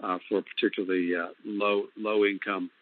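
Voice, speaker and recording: A man giving an unscripted answer on a telephone conference call, halting and repeating his words. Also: lowish voice, little pitch movement. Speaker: speaker responding on a conference call. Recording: tinny, narrowband phone line.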